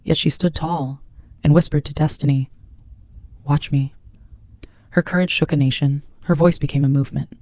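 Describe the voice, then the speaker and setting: Mellow, dramatic woman narrator, dry sound. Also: deep voice, fairly even intonation, normal speed, dry recording. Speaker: narrator introducing a story. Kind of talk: scripted trailer narration.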